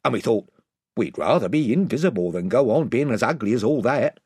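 A man's voice: mid-range voice, animated, a little fast, darkish tone, husky, no echo, studio quality. A man voicing a self-important, rambling chief of simple folk in a storybook, with a folksy manner. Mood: rambling, earnest. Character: pompous, rambling, rustic invisible chief, male.

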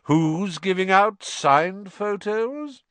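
A man voicing a jovial, self-admiring celebrity teacher with a booming, pompous, patronising manner in an audiobook. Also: animated, extremely slow, fairly bright tone, clear, resonant tone, dry recording, full character voice. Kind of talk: audiobook character voice.

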